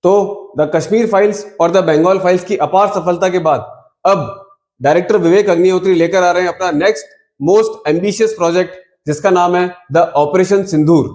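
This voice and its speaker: A man with a noticeably high voice delivers opinionated film news commentary. He speaks emphatically and drops English words into his talk. The sound is roomy, with clear echo around the voice. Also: moderate pace, darkish tone. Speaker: video commentator.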